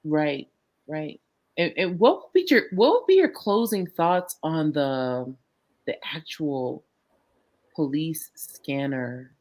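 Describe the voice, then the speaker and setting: Reaction show co-host, mid pitch, agreeable, slightly hesitant, talks casually and restates points. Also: lively intonation, slow, a little mellow. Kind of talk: conversational reaction commentary.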